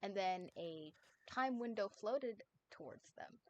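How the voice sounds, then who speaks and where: A woman narrates fan fiction for a stream in an ordinary mid-range voice. Her reading is plain and steady. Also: medium pitch, slow pace, slight background noise. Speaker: fanfic reader and streamer.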